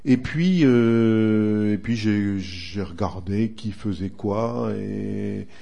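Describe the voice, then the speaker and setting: A retired man in his sixties from northern France talks about his past in a relaxed interview, pausing often as he thinks. His voice sits fairly low and sounds soft and mellow rather than bright. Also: vocal fry, dull audio. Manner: unhurried, reminiscing, frequent hesitations. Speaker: guest, retired racing driver and motoring writer. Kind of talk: relaxed radio interview answers.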